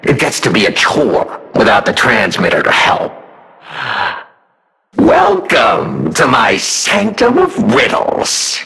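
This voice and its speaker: A sadistic puppet king of riddles from a video game. The voice is gravelly and sharp, lurching through huge swoops of pitch. The delivery is mocking and gleeful, relishing cruelty with grand theatrical flourish. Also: wildly swooping pitch, takes it slowly, full character voice. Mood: mocking welcome.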